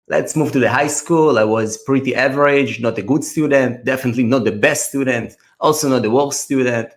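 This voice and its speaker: A man's voice: medium pitch, fairly quick. A man in his thirties from Russia with a Russian accent, telling his life story earnestly as a guest on a casual trading podcast. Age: 30s. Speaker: trader and mentor, podcast guest.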